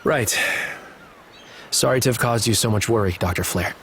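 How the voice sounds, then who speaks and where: An actor playing a somber, haunted young man in a video game cutscene, speaking in an earnest, subdued and measured way. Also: lowish voice, fairly bright tone, a little hiss or hum. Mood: contrite, resolved.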